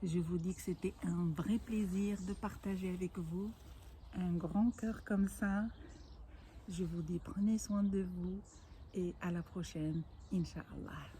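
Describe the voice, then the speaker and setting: Older woman, native Arabic speaker, unhurried patient teacher with a warm, dark tone. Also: lowish voice, steady tone, slight background noise. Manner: patient, explains each word slowly with small restarts. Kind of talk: home-made language lesson.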